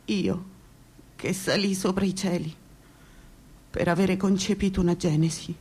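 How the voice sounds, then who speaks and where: An actress playing a wronged asylum inmate, reciting bitter verse in a solemn, theatrical way. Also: female voice, on the low side, fairly even intonation, unhurried, a little mellow, breathy edge.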